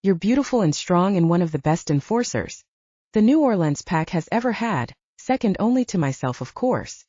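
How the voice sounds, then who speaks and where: A woman's voice: on the low side, sing-song, normal speed, warm tone, no room sound, highs cut off. A woman voicing a loyal, brash female best friend, protective and encouraging, in a romance audiobook. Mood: consoling. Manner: encouraging, blunt. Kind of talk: audiobook romance character acting.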